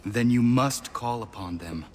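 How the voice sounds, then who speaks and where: An actor playing a stoic, earnest young warrior in a video game, speaking in a terse, formal, measured manner. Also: male voice, medium pitch, a little hiss or hum, natural voice. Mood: resolute. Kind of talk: video game character acting.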